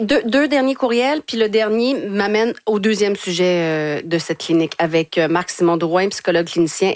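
Brisk woman host. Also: on the low side, no echo. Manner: reads listener emails aloud, brisk and curious. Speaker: radio host. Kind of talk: hosting a call-in advice show.

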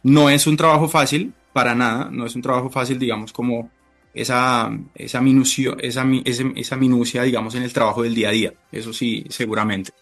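A man speaking Spanish, giving halting, deliberate conversational answers as a chef being interviewed. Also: mid-range voice, flat delivery, a bit bright, faint music behind. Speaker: interview guest, restaurant chef.

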